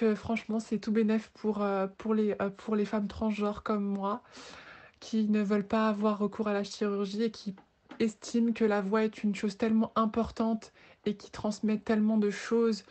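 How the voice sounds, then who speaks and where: Woman in her twenties, mellow soft-edged tone, fairly even intonation, earnest testimonial, hesitant phrasing. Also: mid-range voice, normal speed. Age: 20s. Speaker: voice therapy client giving a testimonial. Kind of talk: informal spoken testimonial.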